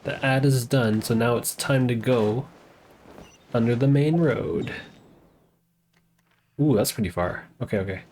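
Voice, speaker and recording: A man's voice: mid-range voice, unhurried, long pauses, a little mellow, a little hiss or hum. A man live streaming a game, chatting casually and muttering to himself in an easygoing way.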